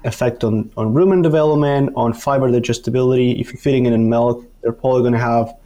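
A man from Argentina with a Spanish-speaker's Argentine accent talks as an expert guest. His pitch sits in the middle, and he explains in a halting way, often repeating small words as he builds each point. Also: steady tone, mellow. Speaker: guest, university dairy researcher. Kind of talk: explanatory interview talk.

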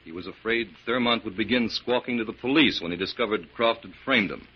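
Male actor as confessed killer, very low voice, resigned, matter-of-fact, 1940s radio crime drama, dull sound. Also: brisk, natural voice. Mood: resigned confession. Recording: muffled, noisy 1940s radio recording.

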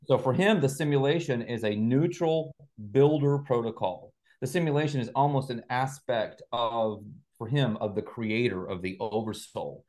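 A man's voice: mid-range voice, moderate pace, a little mellow. A man speaking as an interview guest, explaining conversationally and carefully qualifying his points like a teacher.

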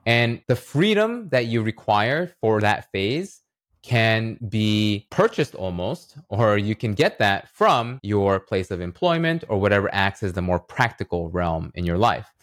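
A man's voice: on the low side, very animated, a little slow, dry recording, clean studio sound. A man speaking as an interview guest, explaining ideas in a reflective way and checking in with the listener as he goes. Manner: reflective, uses analogies and rhetorical check-ins. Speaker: podcast guest, writer and illustrator.

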